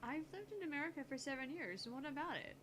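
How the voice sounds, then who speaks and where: A woman's voice: sits high, expressive, quick pace, fairly bright tone, a little hiss or hum. A woman voicing a brusque, self-assured eighteen-year-old female genius scientist in a visual novel, curt and no-nonsense. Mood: dismissive. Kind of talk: visual novel read aloud in character.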